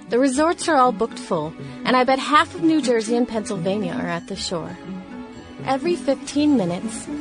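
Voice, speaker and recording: A woman voicing a young woman writing diary entries at the beach in 1916, in a radio play. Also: a little high. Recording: music bed behind voice.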